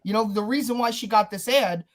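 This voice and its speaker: A man's voice: high-pitched, brisk. Rambling man on a livestream. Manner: rambling, says "dude" and "you know what I mean". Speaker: guest on a livestream. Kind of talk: casual chat.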